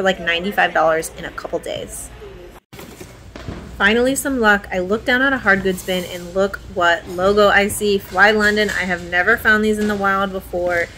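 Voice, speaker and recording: A woman's voice: medium pitch, pushed and bright, rough recording. A woman in her thirties chatting casually and informally to her viewers as a thrift reseller vlogger. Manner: informal, addresses viewers as guys. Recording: clear background noise, warehouse ambience.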